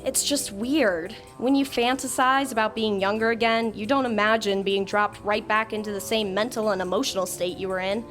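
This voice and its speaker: A woman's voice: mid-range voice, fairly even intonation, moderate pace, few pauses, a bit bright, soft background music, natural voice. An actress playing an imperious, sardonic young woman in a digital afterlife in an audio drama. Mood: wistful.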